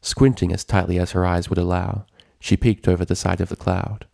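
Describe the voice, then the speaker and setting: A man with a deep, creaky voice narrates a story from a script. The recording is close and dry, with very little room sound. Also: flat delivery, fairly quick, a little mellow, no echo. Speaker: fan fiction reader.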